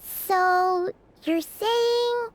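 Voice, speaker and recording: A woman voicing a tiny childlike fairy companion in a video game, chirpy and eager with a squeaky voice. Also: very slow, soft background music, exaggerated voice. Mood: puzzled, concerned. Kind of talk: animated video game acting.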